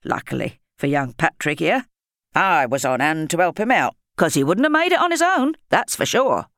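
A woman's voice: low voice, sing-song, moderate pace, fairly bright tone, no echo, studio quality, exaggerated voice. A woman voicing a pompous, bossy, self-important middle-aged man in an audiobook story. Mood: boastful, self-important. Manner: breathless spluttering and puffed-up boasting.

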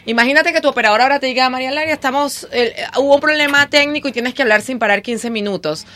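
A woman with a Venezuelan accent, a diction and voice teacher, speaking energetically and clearly as a guest on a radio show. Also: sits high, fast, slight background noise. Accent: Venezuelan Spanish. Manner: energetic, articulate, promotional. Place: radio studio.

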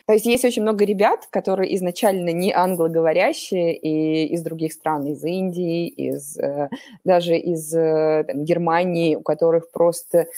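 A woman's voice: fairly low pitch, fairly even intonation, moderate pace, warm tone, dry recording, studio quality. A young woman from Ukraine, a podcast guest in casual chat who talks animatedly, laughs often and drops slang.

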